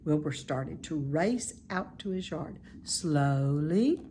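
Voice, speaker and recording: A woman reading a children's story aloud in expressive narration. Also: deep voice, measured pace, a little hiss or hum. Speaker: storybook reader.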